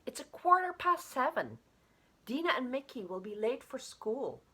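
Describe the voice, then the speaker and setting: A woman's voice: high-pitched, very animated, moderate pace, bright, forceful tone, husky, pitched above the performer's own voice, heavily acted. A woman voicing a middle-aged professor husband in a story, pleading in exasperation with his wife. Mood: urgent. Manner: pleading, despairing.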